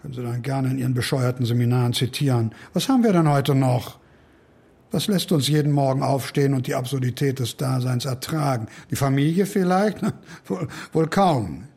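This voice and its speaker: A man's voice: mid-range voice, moderate pace, creaky, darkish tone, husky. An actor playing a cantankerous, cynical middle-aged male professor in a radio drama, pompous and impatient. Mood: irritated, cynical.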